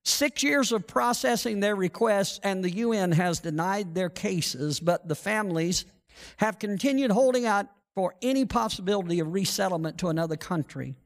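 A middle-aged pastor with a high, light voice speaks on a headset mic from a large church stage. He reads from a sheet and prays in halting, repeated phrases. A long hall reverb trails behind his words. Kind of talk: sermon with reading and prayer.

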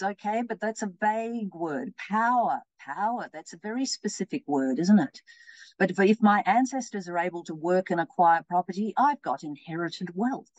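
A middle-aged woman running an anti-racism webinar, warmly reading participants' chat replies aloud and encouraging them. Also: fairly low pitch, no echo, poor audio. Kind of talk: interactive webinar teaching. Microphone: video call.

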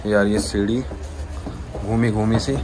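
A man's voice: fairly low pitch, fairly even intonation, a little slow, background noise. A young man casually narrating a vlog in informal, slangy speech at a cafe. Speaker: vlogger. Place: cafe with terrace. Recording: loud music over the voice. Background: loud cafe music.

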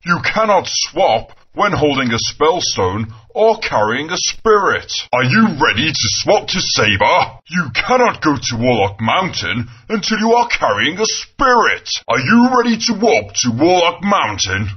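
A jovial old magic stone, booming a hearty laugh and guiding the player with kindly patience. His voice swoops up and down, slow and a bit husky, from dull lo-fi game audio. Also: very animated, exaggerated voice. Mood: patient. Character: jolly old male Swapstone, a magic talking rock. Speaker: game helper character.

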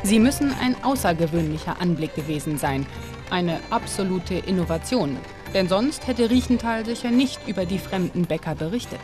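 A woman's voice: on the low side, expressive, normal speed. Woman narrating a documentary over music.

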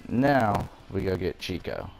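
A man's voice: low voice, huge pitch swings, slow, gravelly, soft background music, rough recording. A man giving a casual video game walkthrough, explaining things step by step in an informal way. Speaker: gamer presenting a walkthrough.

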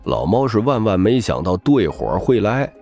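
A man's voice: fairly low pitch, very animated, moderate pace, creaky, darkish tone, soft background music. A man narrating scripted fiction as a dramatic audiobook reader.

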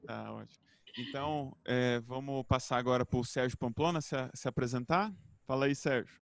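A Brazilian man moderates an online seminar in an informal, teasing way. His voice is mid-pitched and sounds dry and close. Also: steady tone, normal speed, gravelly, dry recording. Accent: Brazilian Portuguese. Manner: joking, informal. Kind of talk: casual hosting on a web seminar. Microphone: video call.

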